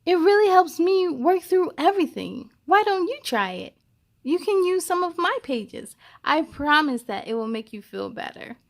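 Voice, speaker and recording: A woman's voice: very high voice, very animated, normal speed, vocal fry, pitched above the performer's own voice, full character voice. A woman reading as a shy, earnest young schoolgirl in a storybook, confiding in simple phrases. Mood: encouraging. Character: shy, nervous, earnest schoolgirl narrating her storybook life.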